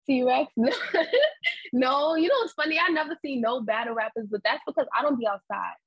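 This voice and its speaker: A woman's voice: high voice, brisk, breathy edge, dry recording, highs cut off. A woman, a guest on a podcast, chatting in a laid-back, rambling way full of fillers. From Harlem, New York. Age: 20s. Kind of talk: casual interview chat.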